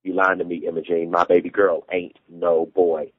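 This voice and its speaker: A man's voice: low-pitched, little pitch movement, normal speed, phone-quality sound. A man voicing a volatile, wounded Southern father, commanding and anguished in rural dialect. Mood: disbelieving.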